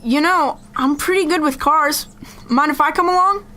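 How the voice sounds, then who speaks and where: A woman's voice: sits high, moderate pace, pushed and bright. A young woman playing a friendly, joking, easygoing teenager in an amateur audio drama. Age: young adult. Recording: music behind the dialogue.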